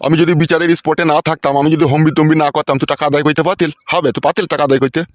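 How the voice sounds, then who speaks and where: A man's voice: a little high, fairly even intonation, racing, dry recording, muffled recording, close to an everyday voice. A man voicing a greedy, foul-mouthed village uncle in a comedy cartoon, scolding in crude slang. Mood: irritated boasting. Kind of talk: Bengali comedy cartoon dubbing.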